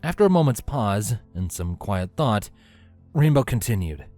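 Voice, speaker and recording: A man narrates a story in a fairly low voice. His delivery is scripted storybook reading, with light music playing behind him. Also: big pitch swings, a little slow, mellow.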